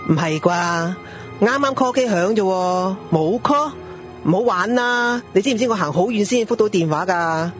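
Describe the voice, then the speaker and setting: A man's voice: high voice, expressive, moderate pace, a bit bright. A man with a Hong Kong accent playing a harried, grumbling father in a radio drama. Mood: exasperated. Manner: sighing, exasperated. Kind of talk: Cantonese radio drama acting. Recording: dull lo-fi audio with background music.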